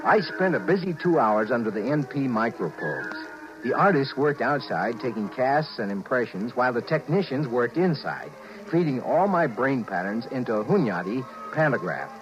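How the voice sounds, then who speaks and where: A man's voice: medium pitch, very animated, normal speed, music in the background, natural voice. A man playing a flustered, stammering businessman. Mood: narrating. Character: harried married male executive, narrator. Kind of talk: 1950s radio drama acting. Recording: muffled vintage radio broadcast.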